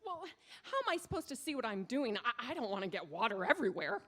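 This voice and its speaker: A woman's voice: fairly high pitch, sing-song, fast, a bit bright. A woman playing a bossy, folksy, exasperated wife in a comic radio sketch. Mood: curious, needy. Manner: folksy, exclamatory, dramatic. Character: exasperated, bossy wife stuck in bathroom, earthy.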